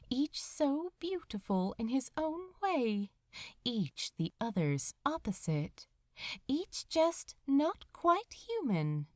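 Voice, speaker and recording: A woman reading fiction aloud as a narrator, with expressive, dramatic delivery. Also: mid-range voice, dry recording, clean studio sound. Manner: slow, expressive, dramatic storytelling. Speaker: audiobook narrator.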